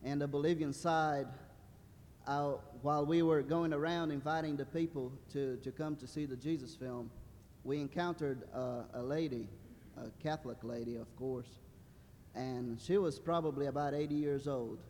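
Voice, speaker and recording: A man's voice: fairly even intonation, normal speed, darkish tone. A man in his thirties, originally from Brazil, giving a hesitant church testimony with many fillers and a wry humor. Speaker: mission trip translator. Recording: reverberant hall with low rumble.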